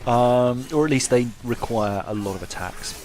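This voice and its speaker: A man's voice: medium pitch, normal speed, a little mellow. A man giving casual, self-deprecating playthrough commentary with a wry sense of humour. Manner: self-deprecating, wryly humorous. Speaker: gameplay commentator. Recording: faint background noise.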